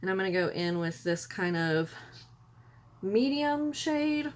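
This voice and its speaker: A woman, hoarse and losing her voice, chatting casually through a makeup tutorial at home. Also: mid-range voice, lively intonation, slow. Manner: chatty, rambling, self-correcting. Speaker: beauty vlogger. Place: room at home by a window.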